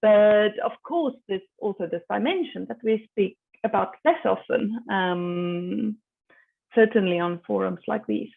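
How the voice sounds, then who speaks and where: A woman's voice: mid-range voice, unhurried, vocal fry. A woman speaks as a panelist over a video call, and the audio sounds tinny and phone-like. She thinks aloud and often stops to restart her sentences. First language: Afrikaans. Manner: thinking aloud, hesitant with repeated starts.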